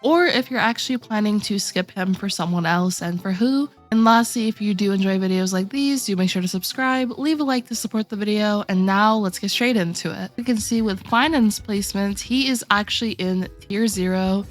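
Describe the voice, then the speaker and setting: A woman with a mid-range voice, a little rough from a sore throat, hosts a gaming commentary video. She explains character placements in a steady, methodical way. Faint music sits quietly underneath her voice. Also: mid-range voice, moderate pace, few pauses. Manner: steady explanatory walkthrough, addressing viewers directly. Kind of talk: game tier list commentary.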